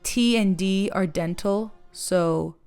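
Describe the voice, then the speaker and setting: A young woman from Los Angeles with a West Coast American accent touched by Farsi melody, teaching an accent lesson in a sing-songy, expressive way. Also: medium pitch, takes it slowly, clean tone, no echo, soft background music. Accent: West Coast American with Farsi-influenced melody. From Los Angeles, California. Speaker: acting coach. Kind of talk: accent tutorial.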